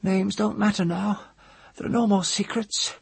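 A man voicing a mad old witch woman, frightened and proud, with a shrill, intense delivery, in a storybook reading. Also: fairly even intonation, moderate pace, creaky, husky, no echo, exaggerated voice. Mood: confiding, wistful. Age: older.

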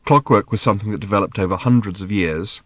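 A man reading a storybook as a bewildered, well-meaning middle-aged father who explains things in a halting, fumbling way. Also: fairly low pitch, no echo, lightly acted. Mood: patient.

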